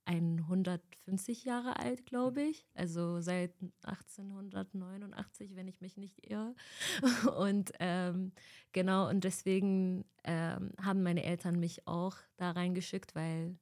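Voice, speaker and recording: A woman's voice: on the low side, fairly even intonation, unhurried, a little mellow. Young woman from Egypt, twenties, casual interview chat, fillers, laughs often. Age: 20s. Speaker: interviewee, student.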